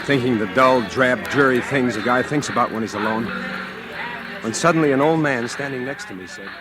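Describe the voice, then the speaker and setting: A man's voice: medium pitch, a little fast, loud music behind the voice, close to an everyday voice. An actor playing a lonely, homesick city guy in a plain-spoken, downbeat way, in 1940s radio drama style. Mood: brooding, lonely. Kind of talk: 1940s radio acting, first-person narration.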